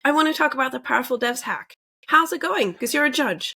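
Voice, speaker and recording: A woman's voice: high-pitched, animated, fairly quick, vocal fry, pushed and bright. A woman from Norway, co-hosting a podcast in chatty, enthusiastic casual talk. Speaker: podcast co-host.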